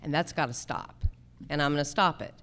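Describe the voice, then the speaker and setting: A woman's voice: sits low, a little fast, a bit bright. A woman running for judge, giving an emphatic stump speech in short, slogan-like statements. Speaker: judicial candidate, lawyer. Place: live candidate forum.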